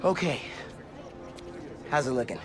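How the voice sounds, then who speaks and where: A man's voice: medium pitch, lively intonation, measured pace, a crowd in the background, natural voice. A man playing an earnest, unsure teenage boy superhero in a video game, speaking in casual youthful slang. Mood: casual, curious. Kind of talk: naturalistic video game voice acting.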